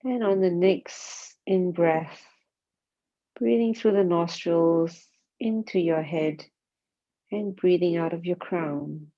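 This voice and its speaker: A woman guides a body scan meditation, speaking calmly and gently in short, spaced phrases. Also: fairly low pitch, slow. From Singapore. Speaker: mindfulness meditation teacher. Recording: dull, muffled lo-fi sound.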